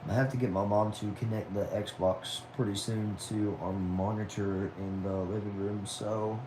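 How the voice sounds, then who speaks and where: A man's voice: on the low side, steady tone, unhurried, background noise. A man of about twenty chatting casually on a livestream at home, reading viewer messages aloud in a laid-back, talkative way, dropping "y'all" and "man". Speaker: livestream host.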